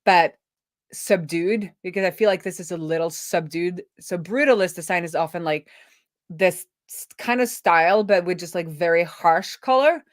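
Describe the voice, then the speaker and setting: A woman's voice: on the low side, normal speed, dry recording, clean studio sound. A woman hosting a casual coding livestream, thinking aloud informally as she works. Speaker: livestream host, web developer. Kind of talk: casual live coding commentary.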